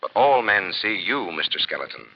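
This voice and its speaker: A menacing phantom avenger, swooping delivery. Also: male voice, sits low, big pitch swings, normal speed, heavily acted. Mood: grave, accusing. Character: invisible avenging phantom crimefighter, stern and mocking. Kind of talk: 1940s radio acting. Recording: dull, lo-fi old radio recording.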